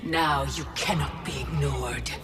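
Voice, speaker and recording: Imperious goddess villainess under loud music. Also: female voice, sits low, unhurried, creaky. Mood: imperious, cold. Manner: grand, formal, commanding declarations. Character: imperious ancient goddess of time, cold commanding villainess. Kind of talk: dramatic video game cutscene acting.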